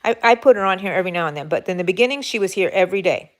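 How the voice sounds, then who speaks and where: A woman's voice: medium pitch, expressive, rapid-fire. Middle-aged Minnesota woman, chatty livestream host, gushes and rambles. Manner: rambling, effusive, reads out chat names. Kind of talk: chatty live stream talk.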